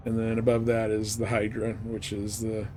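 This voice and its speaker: A man's voice: fairly low pitch, completely flat delivery, normal speed, darkish tone, soft background music. A man giving casual, rambling live commentary as he plays a game, often muttering to himself. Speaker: game livestreamer. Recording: clearly noisy background.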